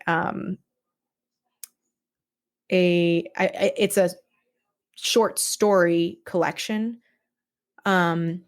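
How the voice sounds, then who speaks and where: A woman talking casually as a podcast guest about her own creative work, in a halting, informal way. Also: fairly low pitch. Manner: halting, informal, frequent "like" and "kind of". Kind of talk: casual chat.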